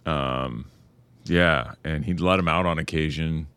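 A man hosting a podcast, chatting in a relaxed, casual way and swearing freely. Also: very deep voice, measured pace, smooth. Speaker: podcast host. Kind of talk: casual conversation.

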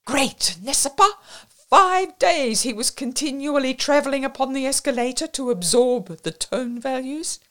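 A woman narrator voicing a gushing, excitable young bohemian woman with foreign phrasing in an audiobook. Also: sits high, unhurried, pitched above the performer's own voice. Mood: gushing, rapturous. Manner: effusive, exclamatory, broken foreign phrasing. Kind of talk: audiobook character voice.

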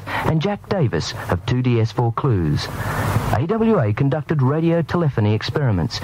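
A man reading a scripted historical narration about early radio, in a measured storytelling manner. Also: medium pitch, darkish tone. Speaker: documentary narrator. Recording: noisy old radio broadcast.

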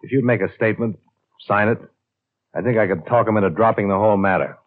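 An actor playing a shrewd, hard-boiled insurance investigator in a clipped, matter-of-fact way in a 1950s radio drama. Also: male voice, lowish voice, quick pace, natural voice. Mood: firm, brisk. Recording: muffled, band-limited old radio recording.

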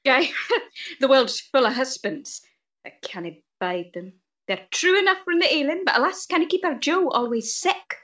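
A woman's voice: sits high, lively intonation, normal speed, a bit bright. Woman playing a chatty old servant, slightly muffled. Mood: wry, rueful. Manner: homely dialect, garrulous. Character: old, chatty, devoted woman servant, wry about husbands. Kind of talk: audio drama acting.

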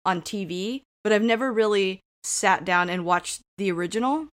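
A woman's voice: medium pitch, moderate pace. Lady hosting a movie podcast, friendly and chatty. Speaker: podcast host. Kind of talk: casual podcast chat.

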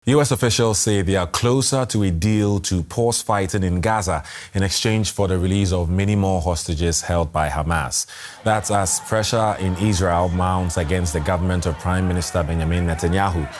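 Low-pitched male news anchor. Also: steady tone, moderate pace, faint music behind. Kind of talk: scripted news presenting.

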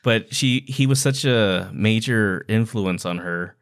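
Smooth, clear-voiced man podcaster. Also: lowish voice, takes it slowly. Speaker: podcast co-host. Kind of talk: casual book discussion.

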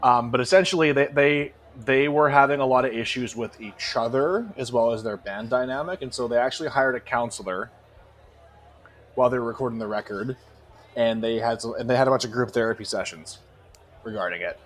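A man's voice: mid-range voice, fairly quick. A man co-hosting a podcast, giving opinions on music in casual, emphatic and sweary talk. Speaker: podcast co-host.

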